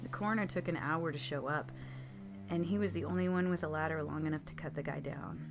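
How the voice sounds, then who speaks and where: A woman's voice: deep voice, fairly quick. A woman reading scripted true scary stories as a storytelling narrator.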